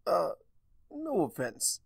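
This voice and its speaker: A man's voice: high voice, huge pitch swings, takes it slowly, gravelly, breathy edge, no echo, clean studio sound, pitched above the performer's own voice, full character voice. A man voicing a small young male dragon who is earnest, blunt and a little awkward, in a fan fiction reading.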